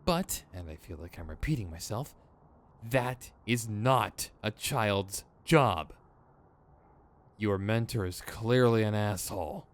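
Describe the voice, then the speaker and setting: A man's voice: on the low side, lively intonation, takes it slowly. A man playing an exasperated but principled, protective villain in an audio roleplay, with a sardonic, emphatic, conversational delivery. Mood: indignant, protective. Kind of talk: ASMR roleplay acting. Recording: clear background noise.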